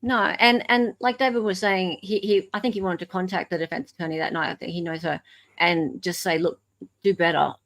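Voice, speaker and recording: Woman with a creaky, conversational voice. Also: lowish voice, steady tone, fairly quick, a bit bright. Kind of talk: casual panel discussion.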